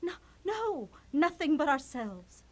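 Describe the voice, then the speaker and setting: A woman's voice: high voice, big pitch swings, slow, exaggerated voice. A woman acting a distressed, lamenting queen and mother in a theatrical Shakespearean puppet show. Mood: anxious sorrowful. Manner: lamenting, dramatic.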